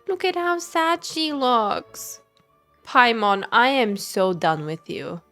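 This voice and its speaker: A woman, a non-native English speaker, giving casual, expressive live game commentary and chatting playfully with viewers. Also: a little high, slow pace, soft background music. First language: not English. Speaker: game streamer.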